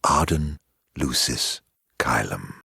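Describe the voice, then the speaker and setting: An actor playing a mocking, theatrical immortal villain in a video game, drawling mock-polite taunts in a husky, gravelly voice. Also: male voice, extremely low pitch, animated, takes it slowly, bright, forceful tone, no echo, clean studio sound, heavily acted. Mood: smug, theatrical.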